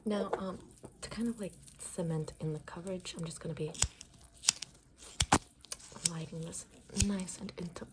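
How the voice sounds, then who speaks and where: Low, whispery ASMR woman with audible hiss. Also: steady tone, moderate pace, long pauses. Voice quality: soft, breathy whisper mixed with low murmured speech. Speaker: ASMR host doing a makeover roleplay. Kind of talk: whispered ASMR roleplay, improvised.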